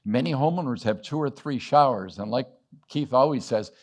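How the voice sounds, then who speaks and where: A man's voice: medium pitch, normal speed, darkish tone. Middle-aged man telling business stories. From Western Massachusetts. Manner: anecdotal, acts out dialogue, chuckles often. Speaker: business owner speaking at a summit. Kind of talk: storytelling keynote talk.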